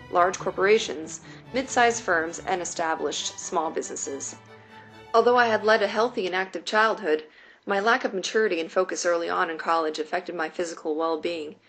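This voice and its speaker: A woman in her late twenties from New York City, whose first language was Mandarin Chinese, reading a personal essay aloud in a measured, deliberate way. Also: lowish voice, vocal fry, fairly bright tone. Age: late 20s.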